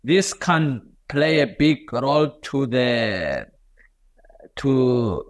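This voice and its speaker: A man from Europe interviewing a guest, speaking haltingly with many fillers. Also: mid-range voice, expressive. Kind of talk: casual interview talk.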